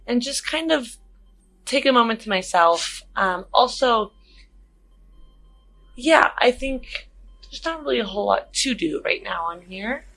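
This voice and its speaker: A woman's voice: fairly high pitch, expressive, a little slow, long pauses, fairly bright tone, slight background noise, low-quality recording. A woman talking casually and tentatively as a player in a tabletop role-playing game, describing her character's actions.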